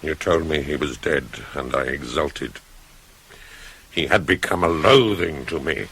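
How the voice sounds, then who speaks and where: A deep, gravelly tragic king. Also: fairly bright tone, exaggerated voice. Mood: sombre shame. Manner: grave, halting, heavy. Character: shamed, bitter royal father in Greek tragedy. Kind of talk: theatrical radio tragedy acting. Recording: noisy old radio cassette.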